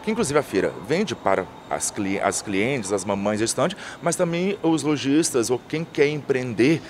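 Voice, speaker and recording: A man's voice: medium pitch, lively intonation, normal speed, a little hiss or hum. A man in his thirties, an event coordinator, answers interview questions outdoors into a handheld mic. He lists items fluently, with frequent restarts. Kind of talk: on-camera interview. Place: outdoors by a painted mural wall. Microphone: handheld reporter mic.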